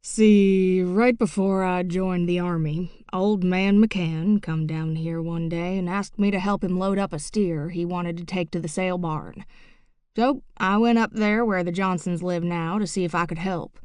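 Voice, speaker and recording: A woman's voice: on the low side, fairly even intonation, a little slow, a little mellow, clean tone, no room sound, studio quality, lightly acted. Folksy uncle spinning a yarn, read by a woman. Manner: drawling folksy storytelling. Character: middle-aged rural man, folksy tall-tale teller. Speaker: audiobook narrator. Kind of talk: audiobook character reading.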